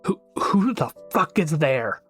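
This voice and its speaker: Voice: medium pitch, sing-song, takes it slowly, a bit bright, slightly rough, soft background music, pitched above the performer's own voice, heavily acted. A performer voicing a grief-stricken, exhausted, short-tempered gravedigger, curt and raw in a role-play drama. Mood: angry grief.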